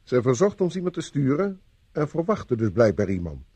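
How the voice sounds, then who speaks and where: An actor playing a self-assured police inspector in a radio play, with a commanding, probing, slightly condescending manner. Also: male voice, mid-range voice, big pitch swings, normal speed, dark tone, breathy edge, no room sound. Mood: brisk, thoughtful. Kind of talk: Dutch radio play acting.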